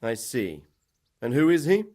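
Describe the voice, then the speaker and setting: A man acting a composed, commanding medieval lord in a video game, measured and formal. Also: mid-range voice, big pitch swings, dark tone. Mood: courteous.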